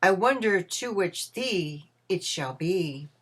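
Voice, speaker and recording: A kindly old Victorian gentleman read by a woman in a storybook play. He is humble and earnest, speaking in exclamatory, old-fashioned phrases. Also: on the low side, expressive, slow, breathy edge, exaggerated voice. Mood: wondering.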